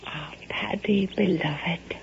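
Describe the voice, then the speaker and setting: An actress playing a spirited, lovelorn young Irish daughter in a 1970s radio drama, breathy and ardent, with an Irish accent. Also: female voice, on the low side, slow, background noise, full character voice. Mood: tender, sorrowful. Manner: ardent, emotional. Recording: muffled vintage radio recording.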